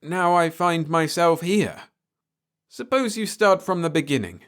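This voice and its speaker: A man's voice: a little high, lively intonation, a little slow, fairly bright tone, clean tone, voice pushed up. A man voicing a bewildered, stubborn male doctor in a sci-fi story, urgent and questioning. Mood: bewildered, insistent.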